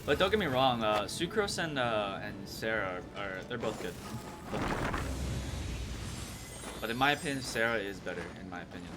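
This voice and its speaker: A man's voice: on the low side, animated, moderate pace, pauses often. A man giving casual live commentary while streaming a video game. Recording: loud game music behind voice. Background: video game music and sounds.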